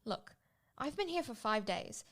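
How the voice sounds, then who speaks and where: A woman playing a sarcastic, short-tempered female prisoner in a tabletop roleplay, speaking in sardonic, deliberate phrases. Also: a little high, sing-song, fairly bright tone.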